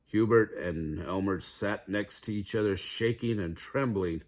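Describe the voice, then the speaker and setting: A man narrating a children's fairy tale aloud in an expressive storytelling style. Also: fairly low pitch, measured pace. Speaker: storybook reader.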